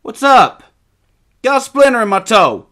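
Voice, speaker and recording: A man voicing excitable, bickering young twin boys in storybook dialogue, taunting and exclaiming. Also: very high voice, measured pace, pitched above the performer's own voice, heavily acted. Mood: teasing.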